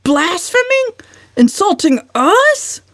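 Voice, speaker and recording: A woman's voice: extremely high pitch, sing-song, takes it slowly, a bit bright, no echo, studio quality, pitched above the performer's own voice, heavily acted. Woman playing a blustering, snorting old uncle. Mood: indignant. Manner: snorting, indignant, emphatic.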